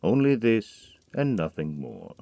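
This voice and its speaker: A man giving a brooding, measured dramatic reading of a poem, dropping to a hush on key words. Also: fairly low pitch, big pitch swings, no echo. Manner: measured, brooding recitation with hushed drops. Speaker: narrator.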